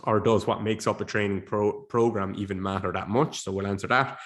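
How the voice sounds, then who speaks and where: A man's voice: fairly low pitch, steady tone, a little fast. A man in his thirties, a bit sniffly and stuffed up, hosting a casual solo podcast, rambling and correcting himself with the odd laugh. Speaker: podcast host. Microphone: basic podcast microphone.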